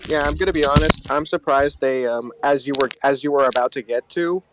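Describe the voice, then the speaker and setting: A man's voice: medium pitch, fairly even intonation, fairly quick, very noisy. A man chatting casually and giving opinions as a panelist on a podcast.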